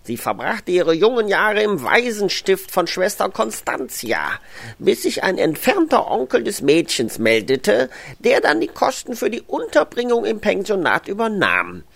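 Eine Frau spielt in einem Hörspiel einen freundlichen alten, aus Polen stammenden Gärtner, großväterlich und gemächlich plaudernd. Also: female voice, mid-range voice, huge pitch swings, creaky, exaggerated voice. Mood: sad, reflective. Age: older. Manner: grandfatherly, unhurried, chatty. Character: kindly old Polish-born male gardener, widowed, reminiscing. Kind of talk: scripted audio drama acting.

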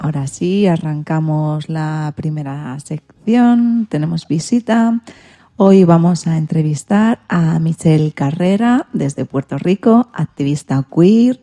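A woman's voice: deep voice, mellow. A woman hosting a radio magazine programme, presenting its sections.

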